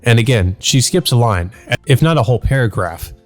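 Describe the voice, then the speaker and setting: A man's voice: mid-range voice, animated, fairly quick, soft background music. A man hosting a let's play, reading a visual novel aloud and adding casual, musing asides to himself.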